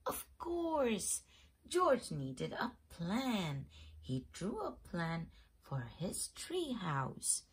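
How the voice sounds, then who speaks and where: A woman host reading a children's picture book aloud in an expressive storytelling manner. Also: mid-range voice, very slow, slightly rough. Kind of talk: scripted storybook read-aloud.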